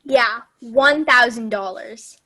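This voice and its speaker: A woman's voice: on the high side, takes it slowly, sharp tone, full character voice. A woman acting an innocent, blunt, eager little schoolgirl daughter in a staged play reading. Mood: proudly eager. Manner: childlike, matter-of-fact.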